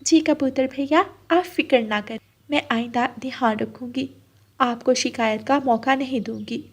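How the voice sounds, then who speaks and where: A woman voicing a gentle, hardworking young female bird in a cartoon, polite and pleading. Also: high-pitched, a little slow. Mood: apologetic.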